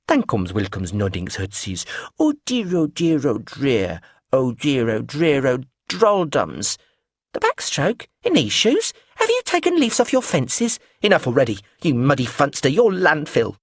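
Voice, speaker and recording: A man voicing an eccentric, rambling woman who babbles nonsense in a high, excitable voice. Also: measured pace, dry recording, clean studio sound, exaggerated voice. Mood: babbling.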